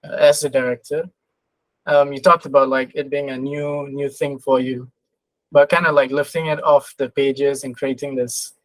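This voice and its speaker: A man's voice: mid-range voice, dry recording, low-quality recording. A man hosting a podcast interview in a casual, conversational style.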